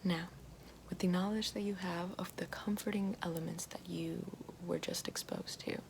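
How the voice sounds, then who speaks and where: A calm witch voiced by a woman whispers close to the mic in a low, flat, unhurried hush, handing out gentle praise again and again. A steady hiss sits clearly behind her voice. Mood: soothing. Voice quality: whispered, soft. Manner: slow soothing, repeats praise. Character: calm gentle female witch guiding elements. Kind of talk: ASMR roleplay. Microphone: close binaural-style mic.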